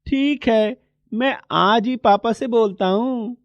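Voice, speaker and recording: A woman's voice: fairly high pitch, slow pace, dry recording, highs cut off. A woman plays a small schoolboy from a poor family in a moral tale. He is wistful and insistent, pleading plaintively in a close, dry recording. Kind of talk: moral story character acting.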